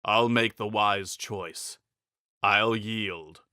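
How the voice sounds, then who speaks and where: Male narrator as villainous knight, proud, scornful, declamatory, hard bright edge, fantasy storybook. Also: fairly low pitch, fairly even intonation, drawn-out words, no room sound, studio quality, heavily acted. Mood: grudging. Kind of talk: dramatic fantasy audiobook reading.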